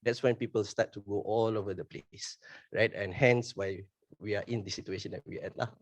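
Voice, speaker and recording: A man's voice: on the low side, fairly even intonation, a little fast, no room sound. A man on a business panel, making points emphatically and checking for agreement.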